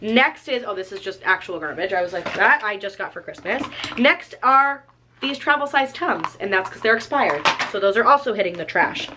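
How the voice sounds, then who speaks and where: A woman's voice: medium pitch, animated, moderate pace, noisy background. A young woman vlogging at home, chatting casually as she walks through her things, with plenty of filler "like.". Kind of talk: casual chat, walking through items. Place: at home indoors.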